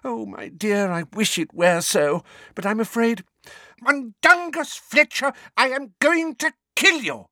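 A man voicing a flustered, scolding elderly woman in an audiobook, exclaiming and fussing in a shrill, indignant way. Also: unhurried, vocal fry, few pauses, dry recording, clean studio sound. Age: older.

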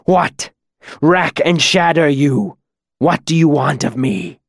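A venomous captive demon from a fantasy tale, acted out by a male storyteller, hissing and rasping. He spits hateful, defiant words with shrieking desperation, his pitch pushed up a little, in a dry, close recording. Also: slow pace, dry recording, heavily acted. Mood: hissing scorn. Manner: shrieking, spitting curses.

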